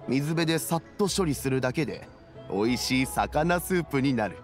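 An actor playing a kindly, dignified old man in a game, speaking with measured, elderly diction. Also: mid-range voice, lively intonation, lightly acted. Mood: fond, wistful. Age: older. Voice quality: gravelly. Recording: background music under the voice.

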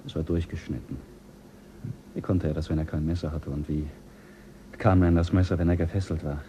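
An actor playing a skeptical, quietly ruthless male astronaut in a radio play, speaking low and measured. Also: steady tone, a little hiss or hum. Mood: calm.

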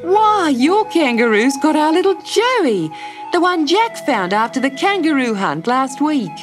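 A woman voicing a loving farm mother in a storybook, with urgent high cries. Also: sing-song, unhurried, faint music behind. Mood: surprised.

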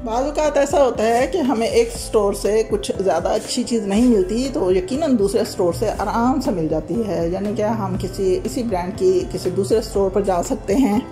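A friendly woman narrates her vlog in a chatty voice-over at a mid pitch. She talks the viewer along as though showing them around. Music plays clearly under her voice. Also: moderate pace, few pauses. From Pakistan. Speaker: vlogger. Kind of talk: voice-over mall tour narration. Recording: voice-over with loud background music.

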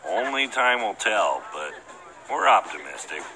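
Blunt, goofy big-lug male game sidekick, low and gravelly, taking his time, music underneath. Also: sing-song, a little slow, pushed and bright, slight background noise, heavily acted, low-quality recording. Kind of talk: comedic video game voice acting.

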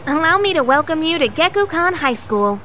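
A woman's voice: high voice, very animated, a little fast, a bit bright, a little hiss or hum. A woman playing a forthright teenage schoolgirl in an anime game, youthful and direct. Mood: welcoming.

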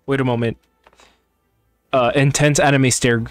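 A man's voice: medium pitch, steady tone, unhurried, no echo. A young man giving casual, irreverent, slangy commentary as he streams a video game. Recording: game music in background. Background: game music.

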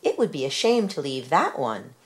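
Woman reading earnest, animated old storybook man. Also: low voice, moderate pace, full character voice. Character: mild, eager very old man, cat lover. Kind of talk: storybook character voice.

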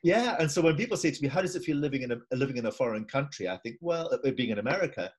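An older Scottish man talks from a room at home over a video call. His pitch swings widely, and he circles his thoughts with repeats and asides. He sounds relaxed and conversational. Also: rapid-fire. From Scotland. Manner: musing, repeats himself, laughs easily. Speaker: interviewer. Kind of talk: casual video-call interview.

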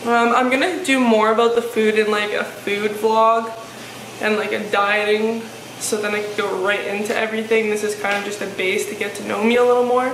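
A deep-voiced young woman in her twenties chats frankly through viewer questions, leaning on casual fillers as she talks. Her delivery stays fairly flat in pitch. She sits in an echoey living room, with a faint hiss under her voice. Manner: chatty, frank, lots of "like" fillers. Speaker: fitness vlogger. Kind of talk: casual Q&A vlog. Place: living room, seated on a sofa.

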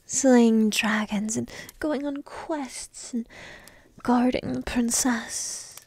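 A woman playing a young dragon princess in an ASMR roleplay, coy, teasing and lovestruck, half-whispering in a soft, intimate, breathy way. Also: on the high side, fairly even intonation, extremely slow, no echo, heavily acted. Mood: playful teasing.

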